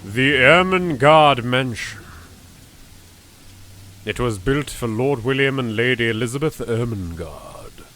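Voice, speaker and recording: A British man narrating a horror game legend as a solemn, ominous storyteller, measured and lingering on phrases. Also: medium pitch, lively intonation, fairly bright tone, slight background noise. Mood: grave, ominous.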